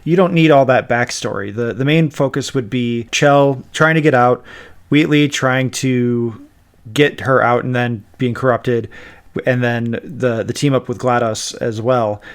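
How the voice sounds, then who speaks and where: A man hosting a casual pop-culture podcast in a measured, halting way, thinking aloud. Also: medium pitch, smooth. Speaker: podcast host.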